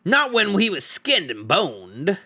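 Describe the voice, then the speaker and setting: William: a man doing a big slow-witted troll. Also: sits high, wildly swooping pitch, pushed and bright, voice pushed up, heavily acted. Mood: gruff. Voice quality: rough, coarse common speech. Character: big dim-witted male troll, soft-hearted and slow.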